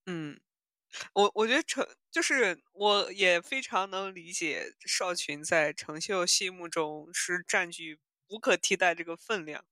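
A woman's voice: on the low side, a little slow, sharp tone, no room sound. A woman co-hosting a podcast, chatting casually in a giggly, conversational way. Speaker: podcast host. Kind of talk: casual chat.

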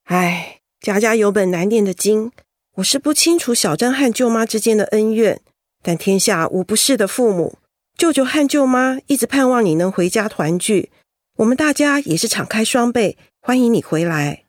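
An actress playing a reasonable middle-aged cousin, a gently persuading peacemaker, in a radio drama. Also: female voice, a little high, expressive, normal speed, no room sound, clean studio sound, natural voice. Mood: earnest persuading.

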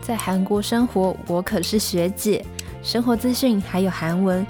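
Gently strict young tutor played by a woman. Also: medium pitch, moderate pace, faint music behind, close to an everyday voice. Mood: reassuring. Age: young adult. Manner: instructive, reassuring, gently strict. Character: responsible, caring young female Korean tutor. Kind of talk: Mandarin audio drama acting.

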